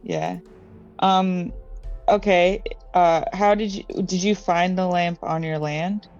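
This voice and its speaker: A woman's voice: fairly low pitch, steady tone, takes it slowly, darkish tone, clear, resonant tone. Woman chatting casually over music, slightly muffled. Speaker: tabletop game player. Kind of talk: casual improvised roleplay chat. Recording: slightly dull audio, music behind. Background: background music.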